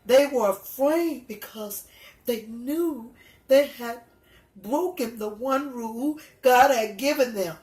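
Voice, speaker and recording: A woman reading a children's story aloud, with halting, deliberate delivery. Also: a little high, a little mellow. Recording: echoey room.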